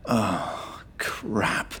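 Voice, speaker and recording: An actor playing a gruff, resigned male MI5 agent in an audio drama, terse and no-nonsense. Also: drawn-out words, pushed and bright. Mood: dismayed.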